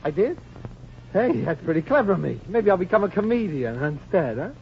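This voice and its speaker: A man playing a conceited, slow-witted young crooner in a 1940s radio comedy, with slangy, self-satisfied delivery. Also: high voice, big pitch swings, creaky, exaggerated voice. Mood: smug, dim. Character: vain, dim, conceited young male crooner, easily hurt. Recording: muffled, noisy 1940s radio recording.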